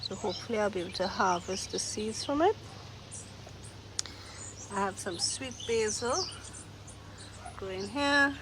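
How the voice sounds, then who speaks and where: Woman vlogger, nasal from a cold, slow and easygoing; outdoor take with clear birdsong behind. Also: mid-range voice, noisy background. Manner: slow, unhurried, pointing out plants one by one. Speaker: gardening vlogger. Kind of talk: casual garden tour narration. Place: outdoor garden. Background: birds singing.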